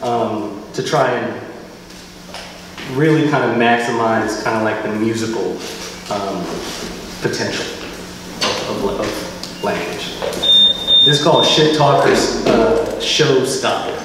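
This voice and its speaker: An American man reading his poetry at a live event, with measured, deliberate phrasing. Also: mid-range voice. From Philadelphia, United States. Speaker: poet reading own work. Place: live reading venue, indoors. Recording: very echoey hall, distant mic, faint noise.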